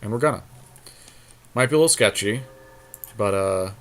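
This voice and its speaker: A man with a fairly low voice commentates casually while playing a card game. He deliberates out loud in an understated, matter-of-fact manner. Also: animated, slight background noise.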